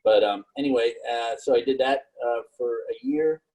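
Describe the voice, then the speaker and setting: Middle-aged man, monotone, slightly husky, rambling career chat. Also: medium pitch, poor audio. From New York. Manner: monotone, halting with many fillers. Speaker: panelist. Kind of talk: casual career talk on a video call.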